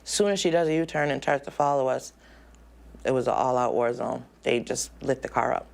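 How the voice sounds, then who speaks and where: A woman in her forties, an inmate awaiting trial, speaking in a documentary interview in a halting, emotional way with many ums. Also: rumbling low, fairly even intonation, gravelly, darkish tone. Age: 40s.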